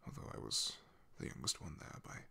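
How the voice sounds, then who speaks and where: A man playing a solemn, haunted, devoted knight in an ASMR fantasy roleplay, speaking haltingly in a husky, gravelly half-whisper. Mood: wistful, somber. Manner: hesitant, halting, confiding in hushed tones. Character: loyal male knight, solemn, haunted, devoted to his princess.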